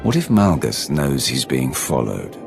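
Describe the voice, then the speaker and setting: Stern imperial soldier, very deep and gravelly, curt, loud game music behind. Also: male voice, sing-song, a little slow, exaggerated voice. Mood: wary. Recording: game soundtrack under voice.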